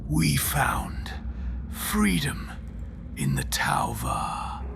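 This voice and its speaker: A man playing a dying but defiant, idealistic traitor captive in a sci-fi audio drama, speaking in a halting, strained rasp. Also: medium pitch, huge pitch swings, whispered, pitched above the performer's own voice, full character voice. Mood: fervent hope. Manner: halting, weak but fervent.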